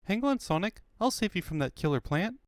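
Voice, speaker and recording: A man voicing an eager, naive little boy cartoon fox sidekick, earnest and excitable. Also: high voice, fairly quick, no room sound, clean studio sound, voice pushed up. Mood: eager, thrilled.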